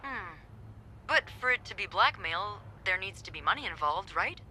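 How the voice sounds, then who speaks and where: An actress playing a breezy, playful receptionist and nosy confidante in a video game. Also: female voice, medium pitch, expressive, normal speed, sharp tone, a little hiss or hum, poor audio. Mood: playful, intrigued. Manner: playful, conspiratorial.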